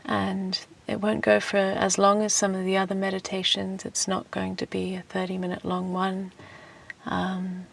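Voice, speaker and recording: A woman leading a guided relaxation meditation in a soft, gentle, soothing voice that turns breathy at times, with unhurried phrases. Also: medium pitch, completely flat delivery. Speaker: meditation teacher and host. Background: music.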